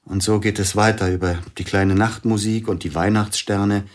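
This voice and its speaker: An actor playing a grieving older pianist in a radio play, brooding and suspicious, often sinking to a hushed near-whisper. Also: male voice, on the low side, fairly even intonation, moderate pace, lightly acted.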